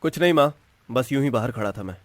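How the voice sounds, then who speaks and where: A man playing a wistful, lovestruck young man in his twenties, soft and introspective, in a romantic audio drama. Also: medium pitch, lively intonation, fast talker, no echo, lightly acted. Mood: distracted, evasive. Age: 20s. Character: wistful lovestruck 25-year-old young man, restless dreamer.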